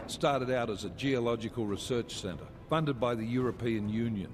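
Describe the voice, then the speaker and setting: A brisk Interpol agent who briefs his partner in a businesslike way, as a man voices him. Faint music sits behind him in the game cutscene. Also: mid-range voice, natural voice.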